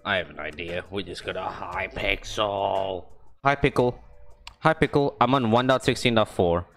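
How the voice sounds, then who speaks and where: A young man casually talking to himself while streaming a video game, rambling and joking, with high yelps in fights. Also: a bit bright. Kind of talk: casual gaming stream commentary.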